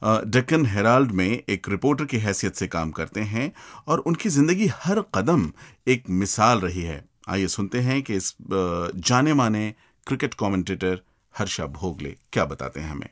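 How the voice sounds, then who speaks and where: A man's voice: fairly low pitch, very animated, normal speed. A man presenting a radio show, introducing a segment to listeners.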